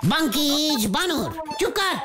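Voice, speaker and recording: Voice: high-pitched, sing-song, measured pace. A performer playing a bossy, boastful, bumbling cartoon uncle who exclaims, repeats himself and mangles English words, with music underneath. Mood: theatrical. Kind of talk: exaggerated Bengali cartoon dubbing. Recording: background music under dialogue.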